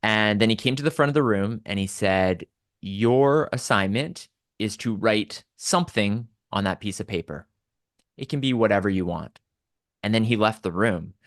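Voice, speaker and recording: Low-voiced Canadian man telling stories. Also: normal speed, no echo, studio quality. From Southern Alberta, Canada. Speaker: podcast guest. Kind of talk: conversational personal storytelling.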